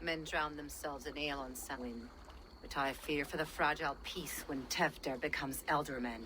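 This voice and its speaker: An actress playing a stern, commanding middle-aged village healer in a video game. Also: female voice, low-pitched, steady tone, normal speed, natural voice. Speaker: game character. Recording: game audio with background noise.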